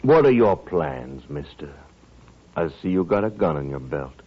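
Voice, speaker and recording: A callous frontier gambler and gunfighter. He is brash, mocking and defiant in a very low voice, heard on a muffled old radio western recording. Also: very animated, a little slow, heavily acted. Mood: mocking menace. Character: cocky, callous male riverboat gambler and gunfighter. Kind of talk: 1950s radio western acting.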